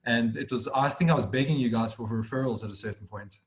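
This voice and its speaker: A man's voice: medium pitch, fast talker. A man in his thirties from South Africa with a South African accent, a firm director telling his story fluently on a webinar. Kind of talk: webinar conversation.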